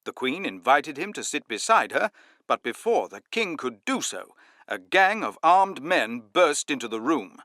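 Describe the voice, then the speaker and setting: Man as formal news reporter, forceful bright tone, animated pitch, grave, declamatory, audiobook, dry sound. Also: unhurried, dry recording, heavily acted. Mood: grave, urgent. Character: male news reporter announcing royal events, formal and grave.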